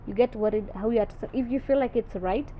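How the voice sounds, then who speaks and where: A woman's voice: a little high, quick pace. Confident young woman. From South Sudan. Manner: confident, chatty, code-switching. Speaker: podcast guest, content creator. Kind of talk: casual interview chat, mixing English and Swahili. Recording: muffled podcast audio with faint background noise.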